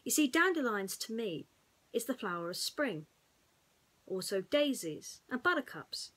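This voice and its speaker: A woman storyteller chatting to young children and narrating a bedtime story in an expressive way. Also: fairly high pitch, a little slow.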